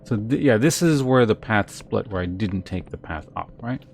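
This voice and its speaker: Low-voiced man commentating gameplay. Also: animated, moderate pace, vocal fry, a little mellow, a little hiss or hum. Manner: rambling, thinking aloud. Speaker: game streamer. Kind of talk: casual live gameplay commentary. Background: music.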